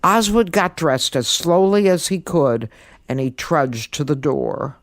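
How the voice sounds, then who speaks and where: A woman narrating a children's story in an expressive, theatrical and emphatic way. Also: deep voice, measured pace, dry recording. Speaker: storyteller, guest podcast host.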